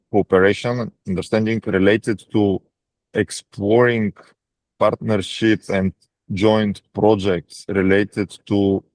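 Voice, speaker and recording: A man with a Bulgarian accent answering as a company executive on an earnings call, haltingly and often repeating words mid-sentence. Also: lowish voice, darkish tone, no echo. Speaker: defense practice lead at a technology company.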